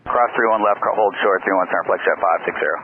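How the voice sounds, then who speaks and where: A man's voice: low-pitched, fast. A man working as a business jet pilot, reading back instructions over aviation radio. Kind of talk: radio readbacks. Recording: noisy narrowband cockpit radio transmission.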